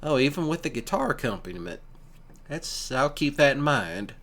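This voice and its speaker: A man's voice: mid-range voice, sing-song, fairly bright tone. A man playing an easygoing, affectionate country boyfriend in an ASMR roleplay, chatting casually and teasingly with his sweetheart. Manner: casual, teasing, folksy, with pauses. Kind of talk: ASMR boyfriend roleplay, one-sided conversation.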